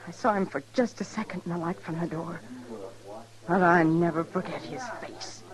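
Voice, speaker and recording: An actress playing a vengeful Old West card dealer in a radio drama, hard and defiant. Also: female voice, fairly low pitch, normal speed, crowd noise, close to an everyday voice, rough recording. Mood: bitter, determined. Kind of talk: old-time radio western acting.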